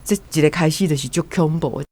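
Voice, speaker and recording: A man's voice: fairly high pitch, fast talker, darkish tone, no room sound. A man with a Taiwanese accent giving casual commentary on a story as a guest.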